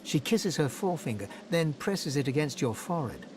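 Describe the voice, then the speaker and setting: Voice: medium pitch, big pitch swings, a little fast, darkish tone, a little hiss or hum. A narrator reading dramatic scripted storytelling for a fantasy game.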